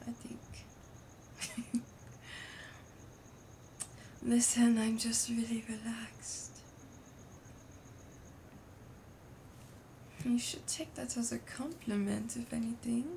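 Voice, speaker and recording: Slow, breathy French roommate, acted by a woman. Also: fairly high pitch, level tone, dark tone. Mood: drowsy, relaxed. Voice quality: breathy, often whispering. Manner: languid, teasing, dramatic. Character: playful, dreamy, relaxed French female roommate, teasingly dramatic. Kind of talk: intimate ASMR roleplay, rambling. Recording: outdoor summer ambience noise behind voice. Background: birdsong, crickets, wind chimes.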